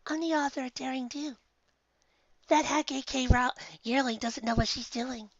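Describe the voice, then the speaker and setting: A gentle young-sounding female pegasus from a storybook. The voice is high, soft and breathy, with a timid, hesitant way of talking. The recording is close and dry but a little dull. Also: fairly even intonation, moderate pace, no room sound. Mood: gentle, earnest. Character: soft-spoken, shy, naive, gentle female pegasus pony. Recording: slightly dull home recording.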